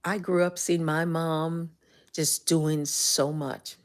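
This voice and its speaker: An older woman with a Jamaican accent giving motivational talk on a livestream, telling stories animatedly and dropping to whispers. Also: takes it slowly. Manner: animated storytelling, rises high when excited, drops to whispers. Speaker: life coach and livestream host.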